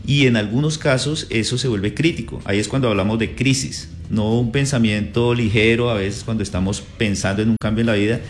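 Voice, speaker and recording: Low-voiced male psychologist guest. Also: faint music behind. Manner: measured, explains step by step. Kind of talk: calm explanatory radio interview.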